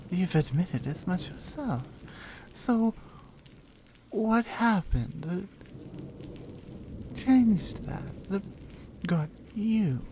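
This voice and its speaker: A woman voicing a strong, flirty lioness blacksmith in a roleplay ASMR audio, coaxing and gently teasing, yet considerate. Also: mid-range voice, expressive, very slow, pauses often, a little hiss or hum. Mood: gentle, curious.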